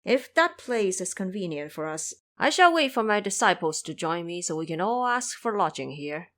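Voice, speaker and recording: Pious old monk, female reader, earnest, musing, lively intonation, storytelling audio drama. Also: fairly low pitch, moderate pace. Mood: hopeful musing. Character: pious elder male Buddhist monk, naive and earnest.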